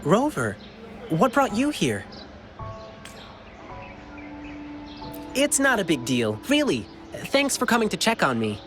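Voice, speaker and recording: An actor playing an earnest, eager, helpful young male lion dancer in a video game, speaking politely. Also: high voice. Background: music behind the voice.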